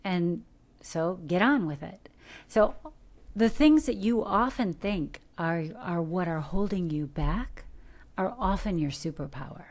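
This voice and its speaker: A middle-aged American woman from Detroit, an actor giving earnest, coaching advice in a podcast interview, animated with rhetorical questions. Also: lowish voice, unhurried. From Detroit, USA. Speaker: guest, actor. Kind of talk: podcast interview, motivational talk.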